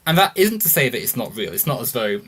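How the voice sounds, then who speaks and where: British man explaining at length, bright voice. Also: mid-range voice, very animated, breathy edge. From United Kingdom. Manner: explanatory, measured, with many fillers. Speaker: guest. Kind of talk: casual political discussion.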